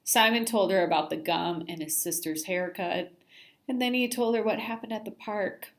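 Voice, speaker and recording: A woman's voice: medium pitch, normal speed. A woman narrating a children's story aloud expressively, like a teacher reading to a class.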